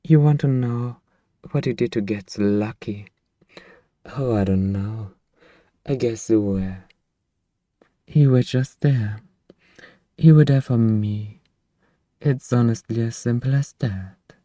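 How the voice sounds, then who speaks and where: A man voicing a possessive yet tender centuries-old vampire royal in an intimate ASMR roleplay, speaking softly and haltingly in a coaxing murmur. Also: medium pitch, dry recording, natural voice. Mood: vulnerable, reassuring. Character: possessive, tender two-hundred-year-old male vampire royal, devoted master. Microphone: close microphone, dry.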